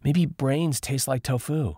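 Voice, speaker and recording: A man's voice: medium pitch, takes it slowly, mellow, breathy edge, no room sound, studio quality, pitched above the performer's own voice. A man voicing a chatty, naive young woman in an audiobook, incredulous and rambling. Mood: curious, chatty.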